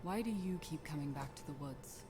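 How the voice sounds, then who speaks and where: An actress playing a guarded lone warrior in an animated fairy tale, terse, stern and reserved. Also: female voice, sits low, fairly quick, music in the background. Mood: sombre, reserved. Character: guarded lone warrior woman, weary protector of villagers. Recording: noisy ambience behind the voice.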